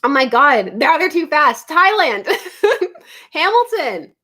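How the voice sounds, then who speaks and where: A woman's voice: sits high, sing-song, slow, bright, forceful tone. A woman from Canada hosting a casual livestream from her home studio, chatting and reading out viewer comments, giggling often and drifting from topic to topic. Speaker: YouTube livestream host.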